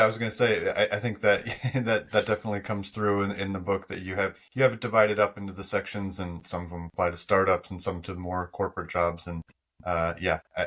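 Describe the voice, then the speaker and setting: A deep-voiced man co-hosts a casual podcast. He speaks haltingly, often breaking off and restarting a phrase. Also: a bit bright. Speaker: podcast co-host.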